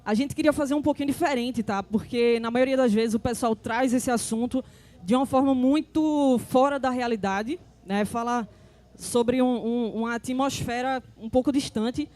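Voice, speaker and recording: A woman moderating a live panel on a conference stage, talking in a halting way with many fillers and restarts. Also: fairly high pitch, darkish tone. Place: conference stage before an audience.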